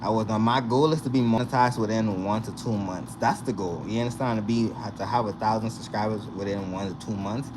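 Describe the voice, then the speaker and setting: A young man from the Bahamas, vlogging in a casual, animated way and often checking in with the viewer. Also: on the low side, a little fast, few pauses. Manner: animated, repeats "you understand?" to the viewer. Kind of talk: casual direct-to-camera chat. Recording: noisy recording with vehicle sounds behind.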